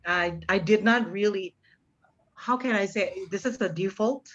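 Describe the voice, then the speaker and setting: Through slightly muffled online meeting audio, a middle-aged woman from Indonesia speaks with an American-influenced twang at a medium pitch. She sounds like a teacher, giving informal, encouraging advice. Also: unhurried.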